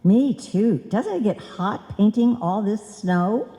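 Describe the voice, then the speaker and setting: An actress playing a scatterbrained, bossy wife who earnestly mangles sayings in an old-time radio comedy. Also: female voice, mid-range voice, lively intonation, takes it slowly, mellow. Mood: earnest, confident. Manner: earnest malapropisms, chiding. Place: on stage in a live performance. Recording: live hall recording, long reverb.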